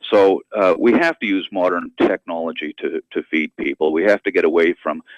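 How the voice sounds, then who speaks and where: A man speaking as an expert guest in a radio interview, explaining in a measured, educational manner. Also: sits low, dry recording, muffled recording. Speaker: guest expert, university professor and author.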